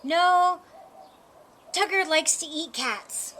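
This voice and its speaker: A woman's voice: extremely high pitch, extremely slow, sharp tone, slight background noise, pitched above the performer's own voice, heavily acted. A woman voicing astonished, curious onlookers in a children's storybook, exclaiming in turn. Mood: amazed. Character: astonished, chattering crowd of neighbors around a cat.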